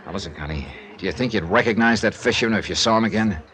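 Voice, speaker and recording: A man's voice: lowish voice, fast, fairly bright tone, close to an everyday voice. A man playing a wisecracking, hard-boiled male insurance investigator in a radio drama, talking in a tough, terse, sardonic way. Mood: probing. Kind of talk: 1950s radio drama acting. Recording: radio drama with music underneath.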